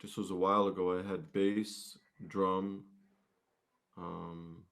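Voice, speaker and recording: A man with a fairly low voice speaks as a guest musician. His delivery is casual and conversational, like an informal chat. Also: level tone, slow pace, gravelly, fairly bright tone.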